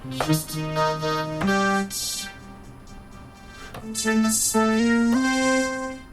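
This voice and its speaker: A woman's voice: extremely slow, over loud music. A woman singing a short repeated vocal phrase, played back as a sample.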